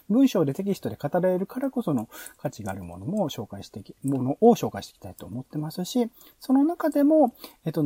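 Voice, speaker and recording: A male podcast host talks through plans casually. His voice is mellow and a little husky, and the pitch moves around a lot. He hesitates often, drawing out filler vowels and rephrasing mid-thought. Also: no room sound. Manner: halting, with drawn-out filler vowels and self-corrections. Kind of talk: casual planning talk, explaining proposals.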